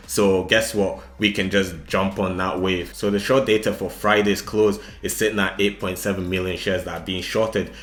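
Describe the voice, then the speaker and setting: A man's voice: sits low, a bit bright. A man giving casual, chatty market commentary, explaining things informally and speaking straight to his viewers. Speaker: retail trader making stock analysis videos. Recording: roomy, echoing indoor sound.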